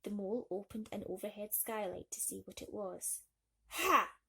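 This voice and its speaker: A woman's voice: medium pitch, little pitch movement, brisk, creaky, mellow, breathy edge. A woman storyteller narrating a bedtime story expressively for children.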